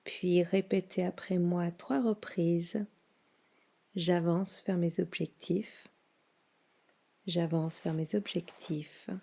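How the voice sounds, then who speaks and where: A woman leading a guided yoga nidra relaxation in a soothing, hushed manner with long pauses. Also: on the low side, fairly even intonation, creaky.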